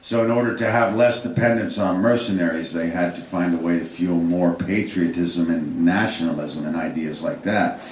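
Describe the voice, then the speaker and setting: A man's voice: low voice, fairly even intonation, normal speed, hardly any pauses, a little hiss or hum. A man giving an unscripted history lecture, rambling with fillers and restarts. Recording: echoey room, distant mic.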